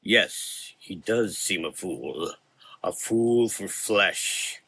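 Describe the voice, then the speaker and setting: A man's voice: deep voice, lively intonation, sharp tone, dry recording, pitched below the performer's own voice, full character voice. Man voicing a sinister, taunting shadow avenger. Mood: sly, taunting. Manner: smooth, taunting, deliberate. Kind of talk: gothic horror audio drama.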